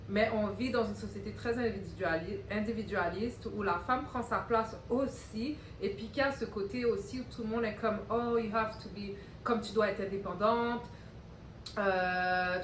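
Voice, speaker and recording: A woman's voice: medium pitch, normal speed, a little hiss or hum. A woman with a Québécois accent co-hosting a casual talk, asking probing direct questions and mixing English into her French. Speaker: co-host. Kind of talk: casual chat. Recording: echoey room, voice a bit far from mic.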